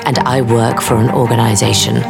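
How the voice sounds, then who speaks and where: Creaky-voiced imperious secret agency boss woman. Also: extremely low pitch, fairly even intonation, fairly quick, loud music behind the voice, exaggerated voice. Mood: cool, commanding. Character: sleek, imperious woman running a secret organization. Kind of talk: audio drama acting.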